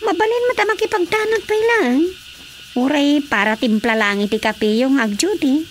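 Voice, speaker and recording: A woman's voice: high-pitched, expressive, normal speed, slight background noise. A woman playing a chatty, teasing village daughter in a Filipino radio drama comedy, speaking expressively. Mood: matter-of-fact.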